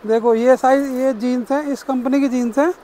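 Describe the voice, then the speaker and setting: A male shop seller with a very high-pitched voice makes a casual sales pitch. He is emphatic, hammering home short phrases by repeating them. Faint noise sits in the background. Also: fairly even intonation, moderate pace, dark tone. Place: crowded clothing stockroom, indoors.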